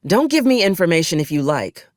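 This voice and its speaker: A woman's voice: lowish voice, very animated, fairly quick, dry recording, clean studio sound. A woman playing a ruthless, wry military commander in a video game, speaking in a clipped, folksy and old-fashioned soldierly manner with sardonic menace. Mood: cold, pragmatic. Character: ruthless, wry female military officer, cold pragmatic commander. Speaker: acquisitions officer character in a video game. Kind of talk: scripted video game character dialogue.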